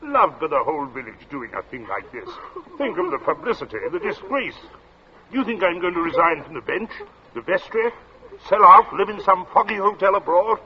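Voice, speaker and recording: Domineering English father, wildly expressive. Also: high voice, moderate pace, a crowd in the background. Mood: scornful, urgent. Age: middle-aged. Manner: commanding, biting, emphatic. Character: stern middle-aged English father, domineering, snobbish, scornful patriarch. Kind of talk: 1940s radio acting. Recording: muffled, noisy old radio recording.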